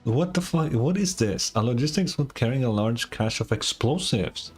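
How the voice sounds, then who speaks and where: A man's voice: mid-range voice, expressive, moderate pace, faint music behind. A man livestreaming a game, commenting casually in a laid-back, slangy way and reading game text aloud.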